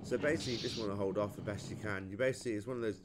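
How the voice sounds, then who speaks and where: A man's voice: lowish voice, steady tone, racing, over loud music. A man giving casual, chatty commentary as he plays through a video game. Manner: chatty, informal. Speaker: gamer, playthrough host. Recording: noisy home game commentary recording.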